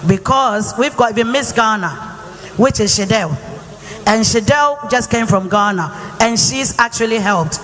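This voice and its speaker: A woman's voice: fairly high pitch, a little slow, a bit bright. A woman speaks into a microphone in a reverberant hall. She talks casually and loosely, circling around her question. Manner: chatty, rambling, with tag questions. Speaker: youth advocate asking a question. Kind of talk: audience question at a town hall.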